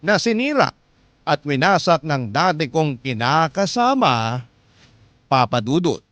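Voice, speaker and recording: A man's voice: on the high side, big pitch swings, unhurried, fairly bright tone, no echo. A man playing a heartbroken young parent, narrating a painful breakup in an earnest, emotive storytelling way. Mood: earnest, wistful. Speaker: radio drama narrator. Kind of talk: first-person dramatic story narration in letter form. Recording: music bed under the voice. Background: background music.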